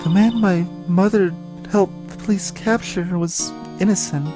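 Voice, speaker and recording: A man voicing a soft-spoken, sorrowful young woman who is a spirit medium in a video game, speaking haltingly and earnestly. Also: high-pitched, fairly even intonation, pitched above the performer's own voice. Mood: subdued. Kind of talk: voiced video game dialogue. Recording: music behind the voice.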